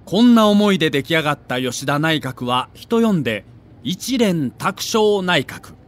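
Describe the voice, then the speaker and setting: A man's voice: fairly high pitch, lively intonation, measured pace. A man narrating a scripted documentary as a story, with dramatic emphasis.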